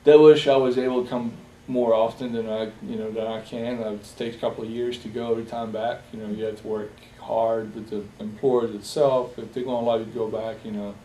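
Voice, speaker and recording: A young man from Bosnia in a personal interview, speaking haltingly with many fillers and restarts. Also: mid-range voice, level tone, mellow. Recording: roomy echo, distant from the mic, faint hiss.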